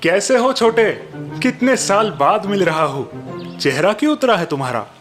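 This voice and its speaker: A man's voice: high-pitched, very animated, normal speed, faint music behind, pitched above the performer's own voice. A man voicing a sly, cheerful village uncle in a cartoon story, with a teasing, coaxing manner. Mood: cheerful greeting.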